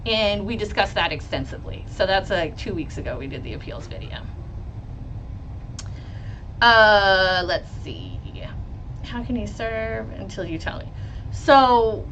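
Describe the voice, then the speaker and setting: A woman lawyer with a loud voice, hosting a live stream about a trial and reading chat questions. She talks bluntly, swears freely and laughs often. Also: mid-range voice, animated, a little slow, long pauses, slight background noise, rough recording. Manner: blunt and profane, with frequent laughs. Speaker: lawyer and legal commentator.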